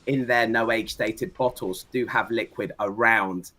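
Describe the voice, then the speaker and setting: A man's voice: on the low side, fairly even intonation, unhurried, bright, forceful tone. A man chatting casually and wryly in a roundtable on a video call. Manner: chatty and wry. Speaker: whisky video maker.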